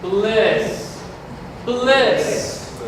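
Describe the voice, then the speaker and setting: A man playing a solemn, rapturous officiant at a mystical wedding, intoning a reverent, drawn-out blessing in a stage reading. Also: sits high. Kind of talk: ceremonial staged reading with slow sung chanting. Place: community hall stage. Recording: very echoey hall, distant mic, faint noise.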